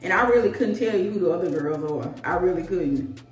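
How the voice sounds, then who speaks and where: A woman, chatting casually to camera in a rambling, animated, slangy way as a vlogger. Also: lowish voice, a little fast. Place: small tiled indoor room at home. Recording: echoey room, distant mic, music behind.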